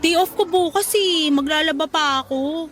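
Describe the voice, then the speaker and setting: An actress playing a cheerful, amused coworker being courted, teasing and giggly in a Tagalog radio drama. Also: female voice, high-pitched, normal speed, fairly bright tone, soft background music.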